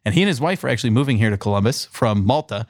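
A man's voice: medium pitch, expressive, rapid-fire, no echo, clean studio sound. A man hosting a casual podcast, reading an introduction and then chatting easily with his guests. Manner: reads a sponsor intro, then chats loosely with laughs. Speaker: podcast host.

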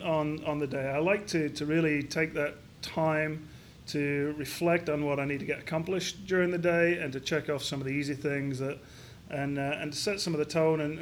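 A man in his fifties from Yorkshire talks through his life and workday in an interview. His voice sits a bit high for a man, and he hesitates often, repeating words as he gathers his thoughts. A faint low rumble runs behind him. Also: steady tone, fairly quick. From Yorkshire, England. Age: 50s. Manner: hesitant, many restarts and fillers. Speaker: business founder guest. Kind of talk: reflective interview answers.

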